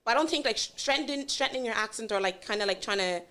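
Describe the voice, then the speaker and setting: Quick-speaking young woman. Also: fairly high pitch, pushed and bright. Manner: casual, slangy, explains at length. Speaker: podcast guest, business founder. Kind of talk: casual chat.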